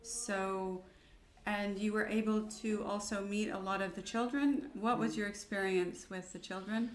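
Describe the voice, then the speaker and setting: A woman hosting a conversational interview, speaking in a measured, appreciative way. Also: medium pitch, steady tone. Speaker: interviewer, volunteer project founder. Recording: roomy echo.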